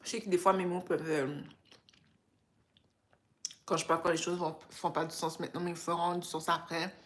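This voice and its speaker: A young woman with a Québécois French accent chats with her livestream viewers. Her voice sits a little low and sounds tired, and she talks in a relaxed, unhurried way, mixing English phrases into her French. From Canada. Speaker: livestream host. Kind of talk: casual livestream chat with viewers.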